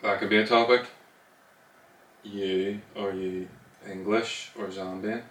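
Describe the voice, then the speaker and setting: A man, co-hosting a casual podcast and dropping brief, deadpan quips. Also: fairly low pitch, slow pace. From Northern Ireland. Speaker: podcast co-host. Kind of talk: casual chat. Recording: echoey room, mic at a distance, faint noise.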